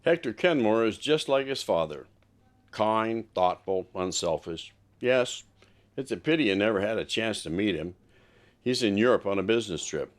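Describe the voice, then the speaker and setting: Sheriff's deputy, played by a man: deepish, animated, obliging, courteous audio drama delivery. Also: moderate pace, lightly acted. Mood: friendly. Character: courteous, helpful small-town male sheriff's deputy.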